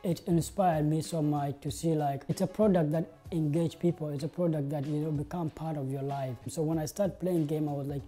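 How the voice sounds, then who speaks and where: A man's voice: fairly high pitch, steady tone, brisk, hardly any pauses, mellow, soft background music. A young man tells his story to the camera in an earnest way, using many "uh" and "like" fillers. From South Sudan. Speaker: interviewee, game designer. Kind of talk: interview monologue to camera. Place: indoor studio with plain backdrop.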